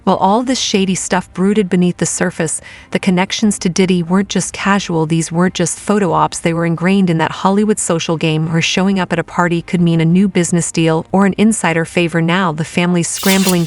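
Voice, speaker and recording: A woman's voice: lowish voice, steady tone, a little fast, hardly any pauses. A woman delivering scripted gossip narration in a dramatic, conversational way.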